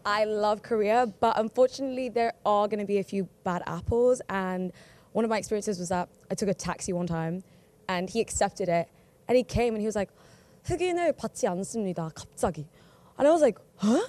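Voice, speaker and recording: A woman from India answering a street interview in a playful, animated way, mixing in Korean words. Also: mid-range voice, fast.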